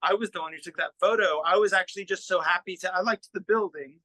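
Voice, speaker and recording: Man, slightly high pitch, bright tone, dry recording, casual interview chat, musician, self-effacing. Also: fast talker, no room sound.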